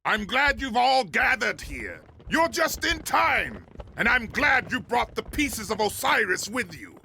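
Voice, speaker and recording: An actor playing a menacing, scornful warlord in a video game, gravelly and imperious, taunting those around him. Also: male voice, extremely high pitch, big pitch swings, unhurried, sharp tone, a little hiss or hum, heavily acted. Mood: gloating. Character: menacing male villain warlord, commanding and scornful. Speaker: villain commander. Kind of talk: theatrical video game cutscene acting.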